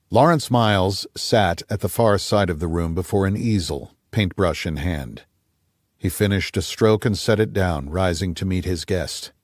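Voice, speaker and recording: A man's voice: deep voice, a little mellow, no echo, clean studio sound. A man reading a scripted thriller audiobook as narrator.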